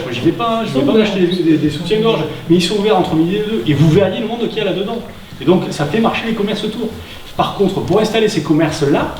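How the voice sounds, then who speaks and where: A man's voice: high-pitched, a little mellow. A man speaking at a public meeting, explaining things in an informal, plain-spoken, conversational way. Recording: roomy, distant mic, background noise.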